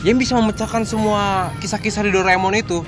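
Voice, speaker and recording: Pria muda Indonesia, bicaranya cepat. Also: male voice, sits high, fairly even intonation, quick pace. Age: young adult. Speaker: YouTube vlog host. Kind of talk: casual nostalgic vlog chat. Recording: background music behind the voice.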